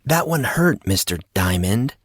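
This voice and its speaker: A man's voice: medium pitch, very animated, measured pace, no echo, clean studio sound. Man voicing a hesitant, confused teenage boy. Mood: awkward, uncertain. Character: confused, uncertain fourteen-year-old boy, earnest and guarded. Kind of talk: acted audiobook dialogue.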